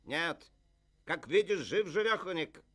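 A man voicing a cocky, swaggering stray dog with a brash, boastful manner in a dubbed animated musical film. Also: a little high, a little slow, dry recording. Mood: cocky, teasing. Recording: music behind the voice.

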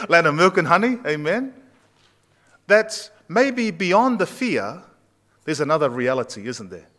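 A pastor in his thirties preaches to his congregation in a church sanctuary. His voice sits fairly high for a man, and he keeps the sermon loose and conversational, putting questions to the people in the pews. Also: sing-song, slow, fairly bright tone. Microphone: far camera at back of church.

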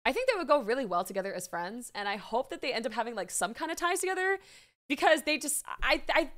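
A woman's voice: on the high side, a bit bright. A young woman streamer giving casual, chatty, gushing reaction commentary into a desk microphone at home. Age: young adult. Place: small home streaming room, indoors. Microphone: desk microphone on a boom arm.

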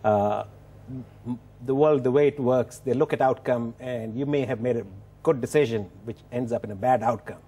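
Husky man, halting and measured. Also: medium pitch, dark tone. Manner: halting, measured, with repeated starts. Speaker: business executive being interviewed. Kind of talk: television interview answers. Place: television studio. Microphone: lapel mic.

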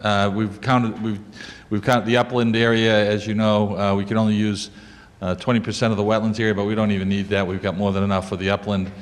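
A man's voice: lowish voice, little pitch movement, a little fast. An older man presenting a lot plan to a planning board in a council chamber, speaking into a handheld mic with many fillers and restarts. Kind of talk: explaining a lot plan at a public meeting. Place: council chamber with wood paneling. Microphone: handheld mic, walking around. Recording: echoey chamber with light noise.

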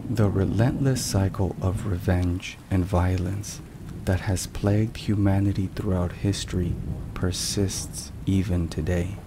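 Slow, low-voiced man in hushed ASMR narration. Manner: measured, deliberate, hushed. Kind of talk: whispered ASMR, scripted devotional narration. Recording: steady rain and thunder noise. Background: rain and thunderstorm sounds.

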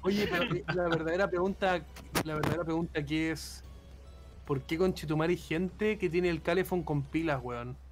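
A man's voice: fairly high pitch, moderate pace, soft background music. A man with a Chilean accent chatting casually in a group, complaining with lots of swearing. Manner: profane, complaining.

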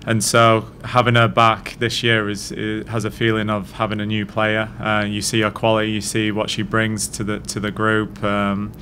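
A man in his thirties, a head coach answering post-match questions at a press conference in a measured, thoughtful way, speaking into a desk mic. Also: lowish voice, completely flat delivery, pushed and bright, slight background noise. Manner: measured, thoughtful, frequent restarts. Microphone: desk mic on stand.

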